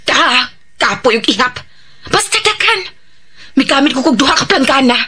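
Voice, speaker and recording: Shrill, giddy Visayan gossip woman. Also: moderate pace, full character voice. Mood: gossipy, excited. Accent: Filipino Visayan. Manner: animated, giggly, exclamatory. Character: gossipy, greedy, giddy woman scheming over money. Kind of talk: Bisaya radio drama acting.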